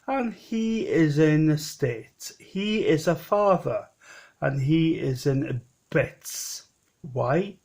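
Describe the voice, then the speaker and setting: Slow rambling man in his thirties. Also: mid-range voice. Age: 30s. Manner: rambling, repeats himself and restarts, plain-spoken. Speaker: vlogger. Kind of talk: personal vlog monologue.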